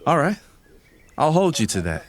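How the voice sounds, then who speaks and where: A man playing an upbeat, flirtatious, easygoing fiancé in a romantic audio drama, playful and smooth-talking. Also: a little high, very animated, normal speed. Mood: flirty.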